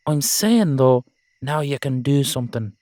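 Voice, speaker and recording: A man's voice: medium pitch, lively intonation, unhurried, a little mellow, no echo, clean studio sound, close to an everyday voice. A man acting an earnest, loyal old Irish friend in an audio roleplay, emphatic, coaxing and salty-tongued. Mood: earnest, insistent.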